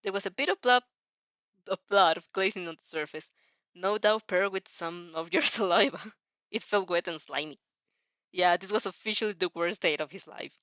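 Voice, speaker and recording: A woman's voice: on the low side, moderate pace, a bit bright, no echo. A woman reading fan fiction aloud with casual reactions, giggly and often stumbling over words and rereading them. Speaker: narrator and reactor.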